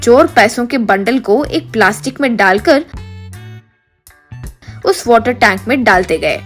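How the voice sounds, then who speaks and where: A woman narrates a scripted comic moral story. Her voice sits a little high. Soft music plays behind her. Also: lively intonation, measured pace.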